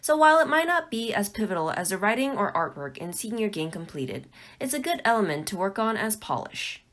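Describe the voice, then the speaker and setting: A woman's voice: mid-range voice, very animated, brisk, fairly bright tone. A woman presenting a scripted tutorial as a narrator.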